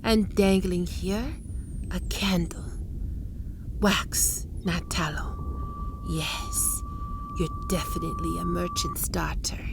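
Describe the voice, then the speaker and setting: A woman voicing a sly, predatory spider-woman who taunts her trapped prey in slow, breathy whispers for an ASMR roleplay. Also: mid-range voice, wildly swooping pitch, noisy background, exaggerated voice. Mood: taunting. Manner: taunting, teasing questions.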